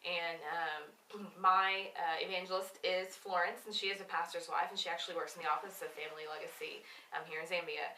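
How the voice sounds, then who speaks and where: A woman's voice: lowish voice, steady tone, a little fast, hardly any pauses, sharp tone, a little hiss or hum. A young woman chatting casually about a mission trip, with many ums and easy laughs. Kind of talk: casual chat. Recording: echoey room, mic at a distance.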